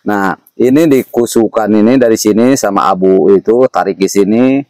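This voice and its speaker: A man's voice: fairly low pitch, moderate pace, mellow, no room sound. A man, explaining folk remedies casually as an interview guest, repeating himself and often checking in with short tags. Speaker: interview guest sharing traditional herbal remedies.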